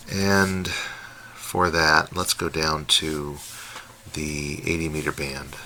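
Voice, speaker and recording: A man hosting an unscripted hobby review, talking in a halting way as he thinks aloud while demonstrating. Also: low-pitched, sharp tone. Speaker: host, radio hobbyist reviewer. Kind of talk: unscripted product demonstration commentary. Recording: faint radio noise behind voice.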